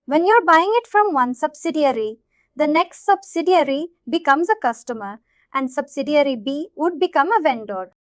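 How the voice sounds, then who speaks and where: High pitched lady trainer explaining step by step, repeats stuff, checks you get it, kinda muffled lo-fi sound. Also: lively intonation, moderate pace, no room sound. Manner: repetitive, checks understanding often. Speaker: software trainer. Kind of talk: tutorial explanation to learners.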